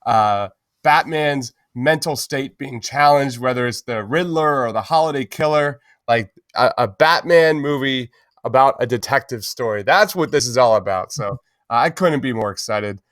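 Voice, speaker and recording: A man's voice: medium pitch, normal speed, a bit bright, no echo, studio quality. A man hosting a casual pop-culture podcast, talking informally and enthusiastically with plenty of slang. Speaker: podcast host.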